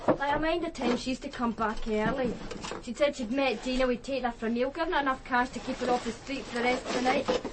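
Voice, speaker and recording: A woman's voice: sits high, fairly even intonation, fast, very noisy, lightly acted. An actress playing a naive, defensive young Glasgow woman in a naturalistic radio drama, speaking colloquially. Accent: Scottish, Glasgow.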